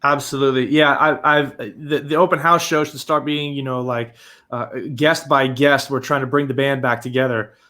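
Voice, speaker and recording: A man's voice: medium pitch, steady tone, moderate pace, bright, forceful tone. A man hosting an interview, asking long rambling questions with many restarts. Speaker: podcast host.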